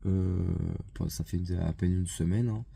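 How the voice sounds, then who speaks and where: A man with a stuffy head cold talks slowly in a deep, mellow voice, pausing often on fillers and starting over. The sound is dry and close. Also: steady tone, gravelly, husky, no room sound. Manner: hesitant, many fillers and restarts. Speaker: vlogger. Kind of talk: casual vlog chat. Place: outside a gym.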